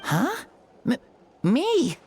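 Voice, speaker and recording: A woman's voice: high voice, huge pitch swings, darkish tone, soft background music, exaggerated voice. A woman voicing a frail, wide-eyed, earnest young child in a video game, speaking hesitantly. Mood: confused. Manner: hesitant, halting.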